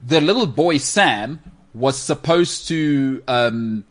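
A man with a South African accent hosting a talk show, giving opinions in casual, slangy, animated banter. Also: mid-range voice, takes it slowly. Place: studio.

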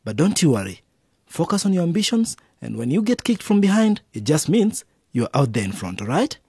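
A man's voice: a little high, big pitch swings, brisk, dark tone, husky, dry recording, studio quality, full character voice. A man playing a wise, encouraging middle-aged father in a radio drama.

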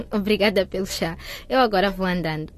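A woman's voice: medium pitch, moderate pace, slight background noise, close to an everyday voice. An actress playing a young girlfriend in a radio soap, polite and hesitant yet firm. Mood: uneasy, reserved. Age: young adult. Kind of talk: radio soap opera acting.

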